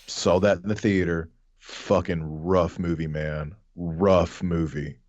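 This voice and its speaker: Low-voiced male co-host, blunt casual chat, close dry recording. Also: steady tone, slow, darkish tone, no echo, highs cut off. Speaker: podcast co-host.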